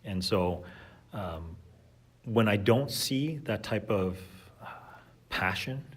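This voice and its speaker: A man's voice: fairly low pitch, expressive, slow, breathy edge. A man, a teacher, talking earnestly and thoughtfully as a podcast guest. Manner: earnest, thoughtful, with fillers and restarts. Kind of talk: reflective conversational talk.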